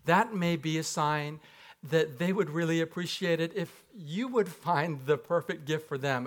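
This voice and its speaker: A man's voice: fairly high pitch, fairly bright tone. A man from Japan, a pastor, giving a conversational sermon with rhetorical questions and light humor in a church. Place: church sanctuary.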